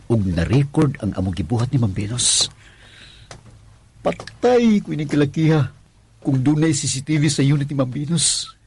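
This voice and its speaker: A man's voice: mid-range voice, lively intonation, normal speed, darkish tone, a little hiss or hum, natural voice. A man acting a nervous, lustful young security guard in a radio drama, stammering and exclaiming with a Cebuano accent.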